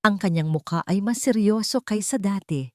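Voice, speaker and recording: A woman's voice: medium pitch, moderate pace, dark tone, no room sound, clean studio sound. A woman storyteller reading a scripted, dramatic story narration.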